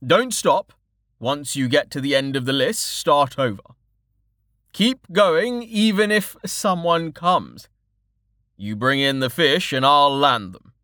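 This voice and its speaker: A man's voice: fairly high pitch, lively intonation, takes it slowly, sharp tone, no room sound, clean studio sound, pitched above the performer's own voice, heavily acted. A man voicing a cheerful, wily merchant father in an audiobook, fond but firm, with a coaxing showman's manner.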